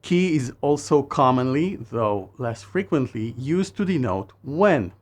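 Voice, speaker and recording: A man who speaks natively, narrating a scripted language lesson in a measured way and slowing down for phrases. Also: medium pitch, very animated. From Israel. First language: Hebrew. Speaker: language teacher.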